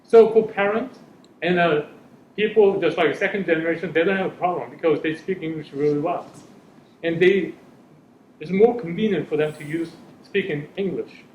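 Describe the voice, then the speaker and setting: Man, middle-aged, fairly high voice, earnest panel talk, echoey room, muffled sound. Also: moderate pace, a little hiss or hum. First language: Korean. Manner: earnest, searching for words in a second language. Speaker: panelist, parent. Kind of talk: panel discussion. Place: school hall or room.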